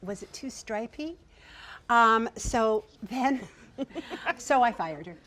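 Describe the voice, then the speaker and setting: A woman with a young-sounding voice, a writer and producer telling irreverent stories on a discussion panel, energetic and quick to laugh. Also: on the high side.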